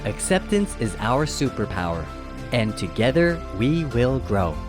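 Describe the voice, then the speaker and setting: A storybook reader giving scripted children's narration in an expressive, measured rhyming rhythm. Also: mid-range voice. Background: background music.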